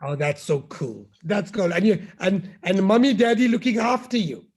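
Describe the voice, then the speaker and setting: A middle-aged man with a gruff, scratchy voice, reading a children's story aloud and chatting with the kids. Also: sits high, normal speed, darkish tone. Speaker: university head reading to children.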